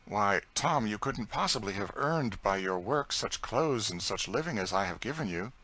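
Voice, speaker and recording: A man voicing a melancholy, wealthy gentleman who talks dryly and teasingly. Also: fairly low pitch, lively intonation, normal speed. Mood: wry. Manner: dry, teasing.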